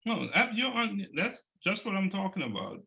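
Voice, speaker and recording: A male interview host with a gravelly, bright, tense tone. He encourages his guest and stumbles slightly as he begins his questions. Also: high-pitched, sing-song, moderate pace. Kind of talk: casual interview.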